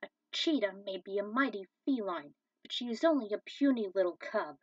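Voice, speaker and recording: A woman's voice: medium pitch, animated, a little fast, darkish tone, breathy edge, no echo. A woman voicing a menacing, theatrical male villain minion with a grandiose, taunting delivery, in a story. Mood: mocking, gloating. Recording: dull, distant microphone.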